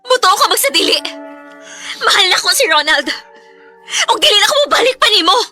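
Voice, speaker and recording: A woman's voice: very high-pitched, sing-song, brisk, sharp tone, faint music behind, full character voice. An actress with a Cebuano Filipino accent playing a defiant young woman caught between two lovers in a radio drama. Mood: defiant, upset.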